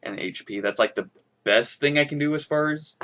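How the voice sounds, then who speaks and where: A man's voice: on the high side, moderate pace, fairly bright tone. A man co-hosting a gaming podcast in casual chat, explaining things in a loose, rambling way. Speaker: podcast co-host.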